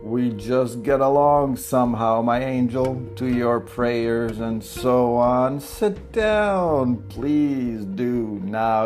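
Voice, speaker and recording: Man voicing a fawning old landowner, gushing, endearments, slow delivery, faint guitar behind. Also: medium pitch, a little mellow. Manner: gushing, calls everyone darling and angel. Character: effusive, fawning older male landowner. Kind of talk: reading a play aloud.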